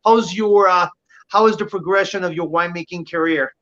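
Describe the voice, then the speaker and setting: A man with a French-Canadian accent hosting a casual video call interview, asking questions in a friendly, halting way. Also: sits high, steady tone, a bit bright, highs cut off. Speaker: host. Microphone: webcam mic on a video call.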